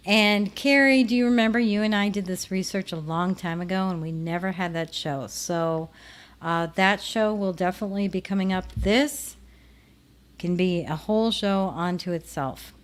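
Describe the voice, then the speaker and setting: A woman's voice: medium pitch, normal speed. A woman with a Minnesotan accent hosting a show, talking casually to her viewers in a rambling way that trails off. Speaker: show host.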